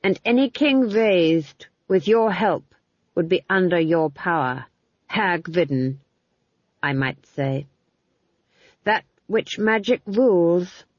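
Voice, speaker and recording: A narrator voicing a mad, coldly menacing usurper duke, deliberate and defiant. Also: medium pitch, no room sound, muffled recording.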